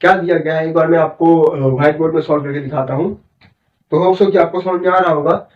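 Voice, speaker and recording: Indian man, maths teacher, sore throat, fairly high voice, step-by-step explaining, dull muffled recording. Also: steady tone, fast. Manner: repetitive, step-by-step explaining with frequent check-ins. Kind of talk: informal maths lesson explanation. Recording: echoey room, distant muffled mic.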